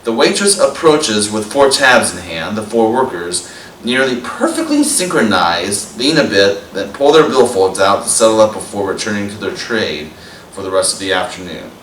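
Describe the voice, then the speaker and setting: A man's voice: on the low side, a bit bright, slight background noise. A young man hosting a talk show, greeting viewers with earnest, announcer-like emphasis and reading a poem aloud. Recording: roomy, distant mic.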